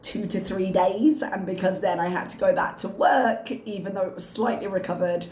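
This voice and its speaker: A woman with a hoarse, slightly strained voice, chatting casually and wryly as a reaction channel host. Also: medium pitch, normal speed, a little mellow, roomy sound, slight background noise. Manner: chatty, wry, self-mocking. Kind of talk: casual chat to camera.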